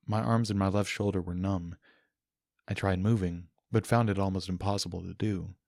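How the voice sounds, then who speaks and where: Low, gravelly male audiobook narrator. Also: darkish tone, dry recording, clean studio sound. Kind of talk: dramatic first-person story narration.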